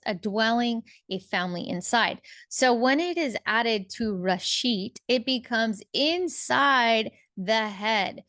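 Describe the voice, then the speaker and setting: A woman teaching about Bible words in a relaxed monologue, thinking aloud with restarts and listing meanings one word at a time. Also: on the high side, dry recording, studio quality. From English-speaking.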